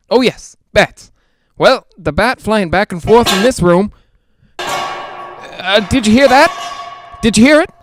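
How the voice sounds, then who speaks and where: A male actor playing a nervous, lonely broadcaster in a 1940s-style radio drama, rambling and halting as he tries to reassure himself. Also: high-pitched, slight background noise. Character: jittery, lonely man broadcasting alone from haunted house.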